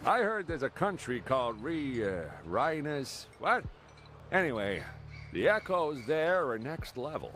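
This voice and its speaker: An easygoing video game townsman, talkative and casual. His fairly high voice swings widely in pitch while he takes his time, like a friendly local storyteller. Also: big pitch swings, a little slow, background noise. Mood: easygoing, informative. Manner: casual, explanatory.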